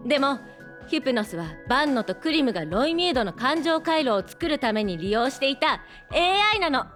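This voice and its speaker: A woman's voice: high voice, sing-song, a little fast, fairly bright tone, soft background music. A woman playing a perky, teasing scientist in an audio drama, speaking in a playful, familiar, emphatic way. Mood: explanatory. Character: perky, teasing adult female scientist friend.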